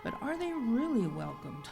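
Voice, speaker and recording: A woman's voice: fairly high pitch, vocal fry, exaggerated voice. A woman playing a gleefully ominous horror-show hostess, speaking theatrically in the manner of old-time radio. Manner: theatrical, slow, drops into whispers. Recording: echoey hall with background music.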